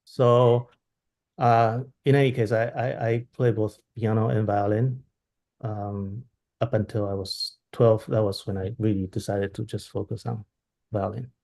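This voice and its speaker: A man in his thirties from Taiwan speaks over a laptop webcam from a room at home. His voice sits a bit low. He answers thoughtfully, restarting phrases as he searches for the right word. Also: level tone, measured pace, vocal fry, darkish tone. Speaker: violinist guest. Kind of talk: video call interview. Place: home room with plain wall. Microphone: laptop webcam on video call.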